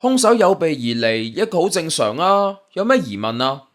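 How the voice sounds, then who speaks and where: A man's voice: a little high, sing-song, moderate pace, a bit bright. A man playing a simple-minded young rich sidekick in an audiobook, with a Cantonese accent. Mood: naive questioning. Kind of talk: audiobook character acting.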